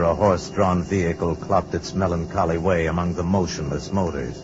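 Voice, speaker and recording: Deep, solemn radio narrator, a man. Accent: American. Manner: solemn, portentous. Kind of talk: dramatic scripted narration, old-time radio. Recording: muffled vintage radio broadcast.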